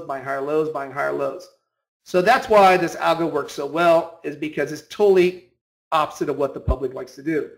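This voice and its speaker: A man's voice: a little high, poor audio. A man giving an informal instructional talk, explaining emphatically with repetition and rhetorical questions. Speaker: trading instructor. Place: voice-over on a screen recording.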